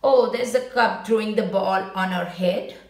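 A woman reading a children's picture book aloud in an expressive storytelling style, with short asides to young listeners. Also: mid-range voice, unhurried, creaky, roomy sound.

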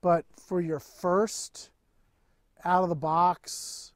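A middle-aged man with a high voice chats loosely through a live stream from an open tent at an outdoor range. He passes on viewer questions in a relaxed, easygoing way, and his voice sounds dry and close. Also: drawn-out words, no echo. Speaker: livestream host. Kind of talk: casual live product chat.

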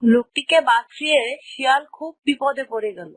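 A woman's voice: high voice, normal speed, dry recording. A woman narrating a scripted children's story as a storyteller.

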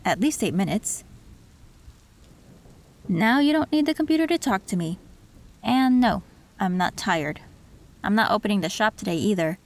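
A woman playing a soft-spoken, wistful tech girl. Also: mid-range voice, lively intonation, moderate pace, long pauses, lightly acted. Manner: soft, soothing, reflective with small laughs. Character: gentle young woman tech fixer, wistful. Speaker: voice actor. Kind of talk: audio roleplay.

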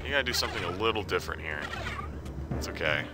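A young man with a slightly low voice talks through his gameplay in a loose, joking way, sounding a little congested. Loud game music plays behind him the whole time. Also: lively intonation, fast talker. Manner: joking, self-talking. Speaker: let's play commentator. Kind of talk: casual gameplay commentary.

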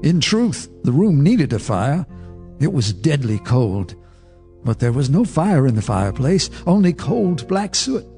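An actor narrating a radio horror drama as a haunted neighbor, in a grave, measured storytelling manner. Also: male voice, on the high side, very animated, mellow, soft background music. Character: haunted male neighbor telling a horror tale.

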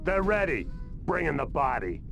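A man's voice: on the high side, big pitch swings, moderate pace, vocal fry. An actor playing a stern, bossy mob henchman in a video game cutscene. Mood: stern, commanding. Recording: music behind.

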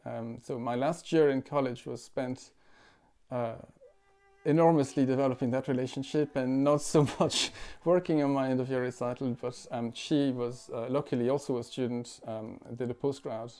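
A man in his forties from France, a musician talking thoughtfully at home, with many pauses, fillers and restarts. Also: mid-range voice, fairly even intonation, a little mellow. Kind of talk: reflective interview talk. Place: living room at home.

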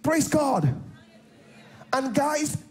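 A man's voice: very high-pitched, sing-song, very slow, darkish tone. A middle-aged Nigerian man preaching a sermon on a large church stage, repeating key lines and calling out for responses from the congregation. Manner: repetitive, call-and-response preaching. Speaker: pastor.

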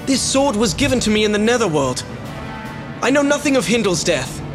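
A man's voice: sits high, big pitch swings, fairly quick. An actor playing a cursed young male rabbit-folk prince who speaks politely and earnestly. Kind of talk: video game cutscene acting. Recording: loud game music behind.

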